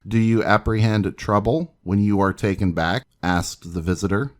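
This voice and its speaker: A man narrating scripted history in a measured, dramatic storytelling manner, as if reading an old newspaper account aloud. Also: lowish voice, fairly even intonation.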